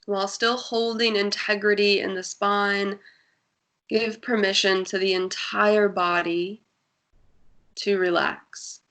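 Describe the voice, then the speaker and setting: A woman guiding a breathing meditation in a soft, soothing voice with long pauses. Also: medium pitch, flat delivery. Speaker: meditation guide.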